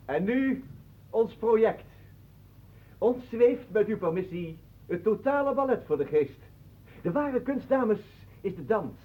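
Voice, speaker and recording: An actor playing a pompous, mocking self-styled ballet master with florid, theatrical delivery in a radio play. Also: male voice, sits high, animated, measured pace, pauses often. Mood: pompous, courtly. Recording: muffled phone-like old radio recording.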